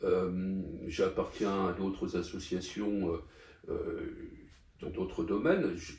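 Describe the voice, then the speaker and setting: A man's voice: sits low, fairly even intonation, slow, a little mellow. An older man from Paris, talking through his life in an interview, hesitant with many fillers and restarts. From Paris, France. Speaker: interview guest. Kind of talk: conversational interview. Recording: echoey room, distant mic.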